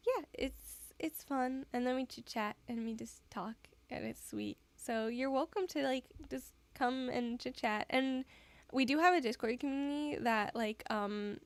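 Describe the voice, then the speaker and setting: A woman's voice: sits high, a little slow, no room sound. A woman live streamer chatting casually with her viewers, giggly and rambling. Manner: giggly, rambling, soft-spoken.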